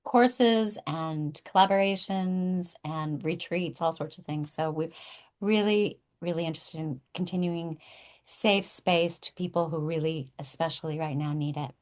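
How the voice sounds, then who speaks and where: A woman's voice: sits low, measured pace, a little mellow. A woman talking casually as a producer guest in an interview. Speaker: podcast guest, table read producer. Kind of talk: casual chat.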